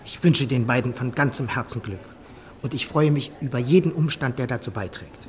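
A man's voice: mid-range voice, lightly acted. Man playing an earnest, restrained Regency naval captain. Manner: measured, formal, earnest. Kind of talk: German radio drama dialogue.

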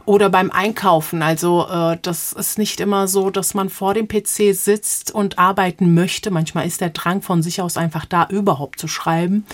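A woman in her forties from Germany, answering interview questions conversationally as a poet, reflective and pausing to search for words. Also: medium pitch. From Offenbach, Germany. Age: 40s.

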